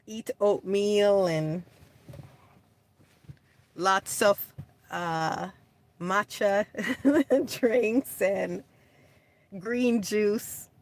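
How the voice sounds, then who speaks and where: Slow-talking chatty woman livestreaming. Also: mid-range voice, fairly even intonation. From Jamaica. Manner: chatty, laughs often, answers live comments. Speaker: livestream host. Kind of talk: casual chat answering viewers. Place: home in California.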